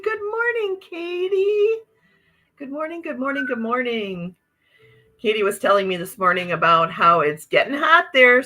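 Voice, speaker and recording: Woman, Upper Midwest accent, livestream host, bubbly, exclamatory, bright tone. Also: fairly high pitch. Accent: Upper Midwest American. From Wisconsin, USA. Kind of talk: casual live-stream chat reading viewer comments.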